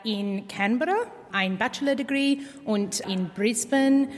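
A young singing student from Australia introduces herself in careful, deliberate speech. Her voice sits a little high. A long hall reverb follows her words. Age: young adult.

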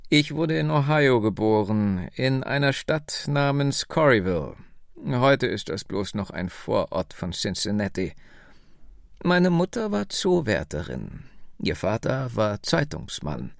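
Man voicing a slow, lilting very old woman. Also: medium pitch, big pitch swings, creaky, dry recording, studio quality. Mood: wistful, earnest. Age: 90s. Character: very old American-born woman, proud, wistful storyteller.

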